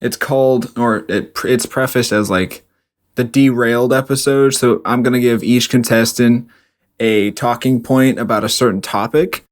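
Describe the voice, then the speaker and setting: A man's voice: medium pitch, steady tone, moderate pace. Young man rambling with fillers. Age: young adult. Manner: rambling, filler-heavy, laughs often. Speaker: podcast co-host. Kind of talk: casual chat.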